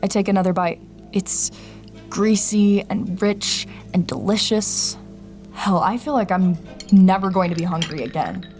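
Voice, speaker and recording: A woman's voice: medium pitch, unhurried, a little mellow, faint music behind, natural voice. A woman playing an anxious, suspicious traveller in an audio drama, hesitant and introspective as she acts and narrates. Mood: uneasy wonder. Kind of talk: audio drama acting with first-person narration.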